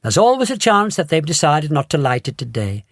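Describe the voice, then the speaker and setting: A man voicing an eccentric, calm factory owner who speaks matter-of-factly. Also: on the high side, a little fast, no room sound, clean studio sound. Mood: breezy. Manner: calm, breezy, matter-of-fact. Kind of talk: storybook read-aloud.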